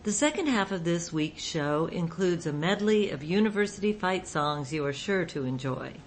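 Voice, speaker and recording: A woman's voice: fairly low pitch, animated, moderate pace, a little hiss or hum. A woman hosting a radio show, reading a scripted introduction to a vintage program.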